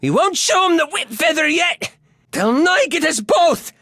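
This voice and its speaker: An earnest, refined fantasy game companion with old-fashioned, formal phrasing. His voice moves through a very wide range of pitch, and it is captured dry and close, with a cautious temper that hides a fiery streak. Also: big pitch swings, measured pace, creaky, bright, forceful tone, no room sound, exaggerated voice. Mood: fierce, alarmed. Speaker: party companion character in a role-playing game. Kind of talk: fantasy video game voice acting.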